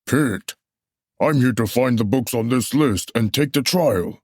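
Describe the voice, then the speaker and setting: A man's voice: medium pitch, measured pace, darkish tone, no echo, studio quality, close to an everyday voice. A man in a puppet show playing an officious, unbothered messenger, dismissive and matter-of-fact. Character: unbothered, officious male messenger collecting banned books. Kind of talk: musical puppet show stage acting.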